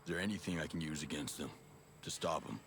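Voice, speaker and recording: An actor playing a calm special forces soldier in a video game, low-key, reassuring and businesslike. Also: male voice, fast talker, a little hiss or hum. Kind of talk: video game cutscene acting.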